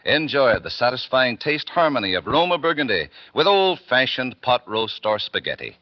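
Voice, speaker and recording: A man announcing a 1940s radio commercial in a polished, deliberate sales manner on a muffled old broadcast, with music behind. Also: medium pitch, sing-song, no room sound.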